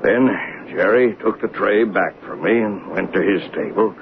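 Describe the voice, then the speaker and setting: An actor playing a plain-spoken working train conductor in a 1970s radio drama, in a conversational storytelling way. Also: male voice, low voice, moderate pace, dull audio. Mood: recounting, sober. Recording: noisy, band-limited vintage radio broadcast.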